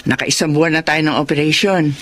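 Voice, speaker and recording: A woman's voice: low voice, steady tone, fairly quick, very noisy, close to an everyday voice. A woman playing a generous, motherly older businesswoman in a radio drama, reassuring and businesslike. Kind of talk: Tagalog radio drama acting.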